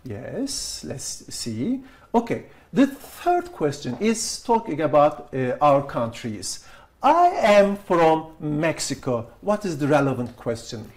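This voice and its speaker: A woman's voice: deep voice, big pitch swings, slow, darkish tone. A woman teacher, a native Turkish speaker, leading an English lesson with prompting questions. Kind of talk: classroom role-play lesson.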